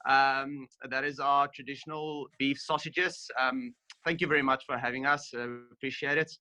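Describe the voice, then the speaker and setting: A young man with a South African accent talks loosely on a video call, his voice bright and forward. He speaks in easy, friendly runs, filling gaps with ums. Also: medium pitch, fairly even intonation, normal speed, rough recording. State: just finished a gym session. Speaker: rugby player and sausage company founder. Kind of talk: casual video-call chat.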